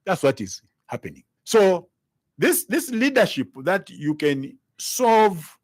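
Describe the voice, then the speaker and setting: A man's voice: sits high, very animated, takes it slowly, no room sound. A man with a Zambian accent gives argumentative political commentary, emphatic, repeating phrases and posing rhetorical questions.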